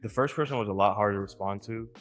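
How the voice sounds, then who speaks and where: A man who works behind the camera and used to be a broadcaster, answering questions in a calm, matter-of-fact way. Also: lowish voice, very fast, faint music behind. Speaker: video crew member and former broadcaster. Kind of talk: casual conversation.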